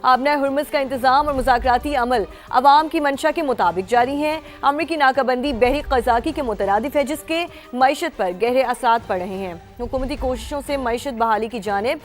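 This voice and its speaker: A woman's voice: high-pitched, moderate pace, few pauses. A woman with a Pakistani accent reading scripted TV news as an anchor, with emphatic headline delivery. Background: news music bed.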